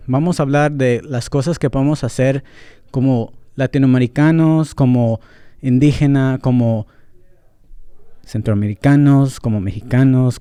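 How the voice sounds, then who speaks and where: Man, young adult, Central American Spanish accent, code-switching, candid podcast monologue, mid-pitched, dry close mic. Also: dark tone, clear, resonant tone, dry recording. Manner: candid, switches between English and Spanish, frequent fillers. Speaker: podcast host. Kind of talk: casual solo podcast monologue.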